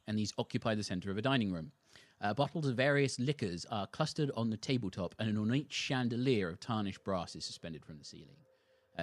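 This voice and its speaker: Low-voiced man running a tabletop game. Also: no room sound. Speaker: game master. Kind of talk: narrating a tabletop role-playing game.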